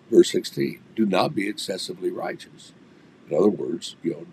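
An older man with a hoarse, raspy voice that sits very low and turns gravelly. He teaches scripture and prays in an earnest way, often stumbling and restarting. He is recorded on a desk webcam in a home office, with faint noise behind him. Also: warm tone, low-quality recording. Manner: earnest, with many restarts and fillers, gesturing while talking. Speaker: pastor. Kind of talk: online Bible teaching and prayer.

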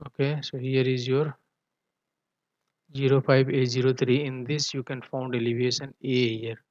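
A man giving a technical tutorial in a halting manner, often punctuating his explanations with a checking "okay". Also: mid-range voice, flat delivery, a little mellow, no echo. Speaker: engineering tutor. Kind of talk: tutorial walkthrough of technical drawings. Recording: dull, muffled lo-fi voice track.

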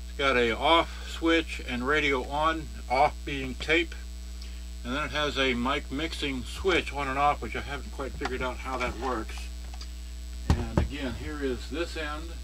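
A man giving a casual, unscripted hands-on demonstration, rambling and half muttering to himself as he handles things. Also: medium pitch. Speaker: presenter of a product review. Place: indoors at a cluttered wooden workbench. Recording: music and electrical hum behind. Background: music, mains hum.

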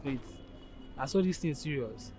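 An actor with a Nigerian accent playing a reluctant, grumbling friend in a screen drama. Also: male voice, mid-range voice, lively intonation, normal speed, warm tone, soft background music, close to an everyday voice. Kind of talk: screen drama acting in Pidgin.

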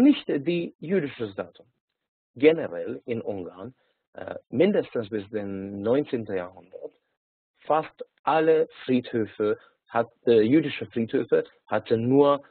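Hungarian-accented man, halting, tinny call. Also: medium pitch, husky, dry recording. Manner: halting, many filler sounds and restarts. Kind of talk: online lecture. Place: small indoor room. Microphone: webcam or laptop mic on a video call. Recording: tinny phone-like video call.

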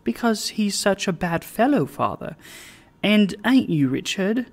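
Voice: medium pitch, big pitch swings, measured pace, voice pushed up. A narrator voicing an affectionate, teasing young woman, a devoted daughter and bride, speaking playfully and earnestly in an audiobook.

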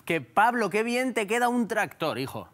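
Lively high-voiced Spanish male presenter. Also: normal speed. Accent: Spanish, from Spain. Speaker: TV studio presenter. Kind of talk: light live chat with reporter. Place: TV studio, feed noise behind.

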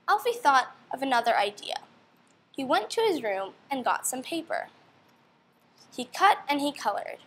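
A woman's voice: high voice, very animated, normal speed, long pauses, fairly bright tone, a little hiss or hum. A young woman reading a storybook aloud to children, expressively and with questions to her listeners. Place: indoor room.

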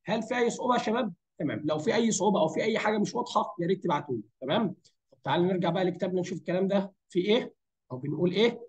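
Male Egyptian lecturer, high voice worn with fatigue, racing delivery, informal explanations peppered with English terms. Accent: Egyptian Arabic. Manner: conversational, asks and answers his own questions. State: hoarse, strained voice from fatigue. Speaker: anatomy lecturer. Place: voice-over for a screen recording.

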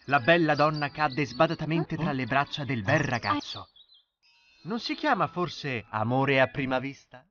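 A man narrating a cartoon fairy tale in an expressive storytelling way. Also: medium pitch, a little slow, highs cut off. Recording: music behind voice.

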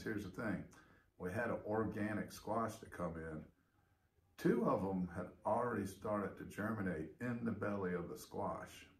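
A middle-aged man chatting casually and rambling with lots of fillers as he gives a garden update. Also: low-pitched. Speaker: gardening vlogger. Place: indoors by a big window with seedlings; yard walk outdoors. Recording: roomy echo, faint noise.

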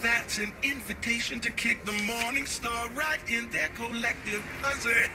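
A flamboyant pimp in a video game, high-pitched and brash, with an American accent and an electronic-sounding voice. He swaggers through slangy street talk over music. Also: normal speed. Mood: cocky. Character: flamboyant middle-aged male pimp, brash and jovial. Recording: music behind the voice.

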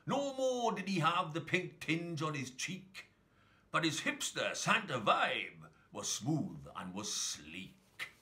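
A man reading a rhyming children's story in a theatrical, expressive storytelling manner. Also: fairly high pitch, slow pace. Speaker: story reader.

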